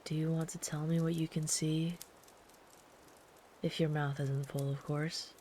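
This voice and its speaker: A woman voicing a big, gentle, protective traveler camping in the woods, speaking softly and soothingly, sometimes in a whisper, as ASMR comfort roleplay. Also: low-pitched, a little slow, a little hiss or hum. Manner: soft, soothing, calm, at times whispered.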